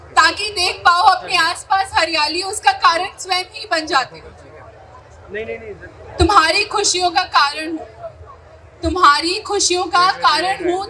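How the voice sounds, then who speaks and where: A woman's voice: very high-pitched, bright, forceful tone, poor audio. A woman giving a dramatic slam poetry recitation, declamatory, repeating lines for emphasis. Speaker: poet performer. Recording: live event with faint crowd noise.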